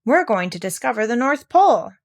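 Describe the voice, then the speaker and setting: A woman voicing a confident little boy who talks in a brisk, know-it-all way. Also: a little high, very animated, pitched above the performer's own voice. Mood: bossy. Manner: brisk and knowing.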